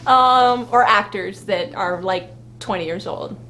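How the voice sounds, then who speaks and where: A woman's voice: medium pitch, slow, bright, forceful tone, slight background noise, poor audio. A woman co-hosting a casual live fan chat, speaking informally and bluntly and swearing freely. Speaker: fan vlog co-host.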